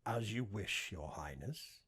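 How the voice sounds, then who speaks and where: A man's voice: lowish voice, expressive, slow pace, a bit bright, slightly rough, exaggerated voice. Subservient young avatar butler done by a man, courteous and composed, audiobook sci-fi. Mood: dutiful, composed. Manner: formal, polite, subservient. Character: deferential young male nanobot avatar servant. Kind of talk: audiobook character acting.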